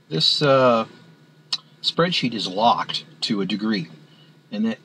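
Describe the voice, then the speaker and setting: A man with a somewhat low voice talks through a spreadsheet in a plain screen-recorded tutorial. He speaks haltingly, filling gaps with "uh" and restarting phrases. A faint hiss sits under the recording. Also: a bit bright, low-quality recording. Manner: halting, many filler words and restarts. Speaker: instructor. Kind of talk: screen-recorded software walkthrough.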